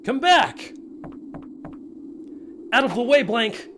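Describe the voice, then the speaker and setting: Man acting a cocky young thief, animated voice. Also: very high voice, takes it slowly, noisy background, exaggerated voice. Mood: dramatic, defiant. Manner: archaic stage declaiming switching to casual banter. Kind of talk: theatrical video game fan dub acting.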